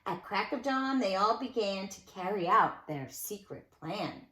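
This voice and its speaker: A woman reading a picture book aloud to young children in an expressive storytelling style, with dramatic emphasis and conversational asides. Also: medium pitch, a little slow. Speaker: nursery school teacher reading a storybook aloud. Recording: echoey room sound.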